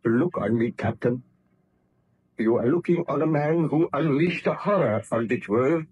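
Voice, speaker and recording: An actor playing a tormented, guilt-ridden aristocratic scientist in an old radio horror drama, with grave, formal, theatrical delivery. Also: male voice, mid-range voice, animated, measured pace, highs cut off, slight background noise, close to an everyday voice. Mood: fervent, haunted.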